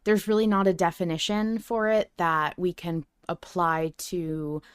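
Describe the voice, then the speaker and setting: A woman's voice: lowish voice, fairly even intonation, unhurried, clear, resonant tone, dry recording, studio quality. A woman co-hosting a podcast, chatting casually and informally, often dropping in "like" as she goes. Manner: informal, peppered with "like". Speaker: podcast co-host.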